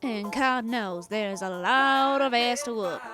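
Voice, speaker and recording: A woman's voice: fairly high pitch, slow, bright, forceful tone, soft background music. A woman voicing a sassy, hot-tempered, sarcastic teenage goth girl with folksy slang in a cartoon parody dub. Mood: cocky.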